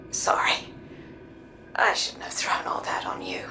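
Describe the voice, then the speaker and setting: Troubled woman, slow and swooping in pitch. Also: exaggerated voice. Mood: weary, subdued. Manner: halting, heartfelt. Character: weary, troubled devoted sister, frustrated and guilt-laden. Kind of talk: acted video game cutscene dialogue. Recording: echoey, loud music behind. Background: loud music.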